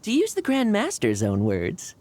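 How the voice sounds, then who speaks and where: A woman's voice: medium pitch, wildly swooping pitch, moderate pace, a little mellow, faint music behind. A woman voicing a friendly, earnest young wanderer returning home. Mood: cheerful. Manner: earnest, cheerful, laughs easily. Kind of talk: video game voice acting.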